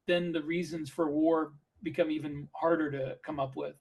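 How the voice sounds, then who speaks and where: A man with a somewhat high-pitched voice. He speaks as a podcast co-host in a casual panel debate, opinionated and ready to argue a point. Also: steady tone, normal speed, a little mellow.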